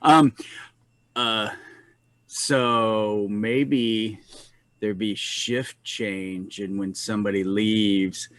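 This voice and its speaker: A man's voice: on the low side, fairly even intonation, very slow, fairly bright tone, no room sound. A man playing a tabletop game, chatting casually and rambling with lots of fillers.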